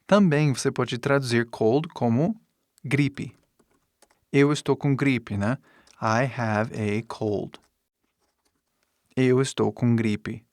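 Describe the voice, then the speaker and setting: A man with an English speaker's foreign accent, a native English speaker, teaching in a deliberate way with pauses between short phrases and example sentences. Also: medium pitch, a little mellow, dry recording, clean studio sound. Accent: English-speaking foreigner's accent in Portuguese. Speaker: English teacher giving an online lesson. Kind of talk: instructional lesson with example sentences.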